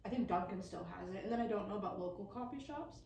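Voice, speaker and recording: A woman's voice: mid-range voice, fast, darkish tone. A young American woman from small-town Wisconsin, chatting candidly and casually as a podcast host, with some swearing. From small-town Wisconsin, USA. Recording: roomy, distant mic, faint background noise.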